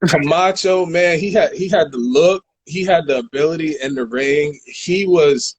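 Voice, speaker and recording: A man's voice: a little high, normal speed, slight background noise, low-quality recording. A man in his thirties in a casual boxing chat, laid-back and slangy, calling people dog and bruh. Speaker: podcast co-host.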